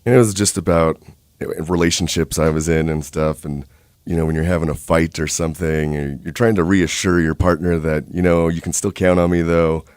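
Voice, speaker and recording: A man in his thirties with a deep speaking voice, a musician chatting easygoingly as a guest in a radio studio. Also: fairly even intonation, darkish tone, clear, resonant tone, no room sound. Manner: laid-back, easygoing and unhurried. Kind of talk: casual interview chat.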